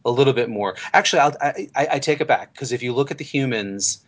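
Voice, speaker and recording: A man's voice: medium pitch, fast, a bit bright. A man hosting a casual fan podcast, chatty and thinking aloud as he talks. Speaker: podcast host. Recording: slightly dull lo-fi audio.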